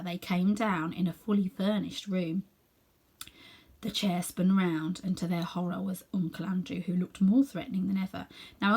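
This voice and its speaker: A woman reading a children's novel aloud as an expressive storyteller. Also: mid-range voice, slightly rough. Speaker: storybook reader.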